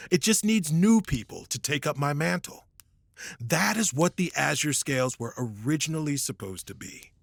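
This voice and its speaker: A man playing a weary, middle-aged retired hero in a fantasy audio drama, earnest and solemn in his measured speeches. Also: a little high, gravelly, slightly rough, dry recording, heavily acted.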